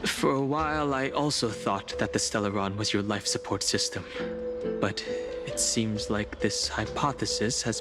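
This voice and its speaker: Actor for a sincere young male companion; calm, explanatory, mid-range voice. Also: medium pitch, natural voice.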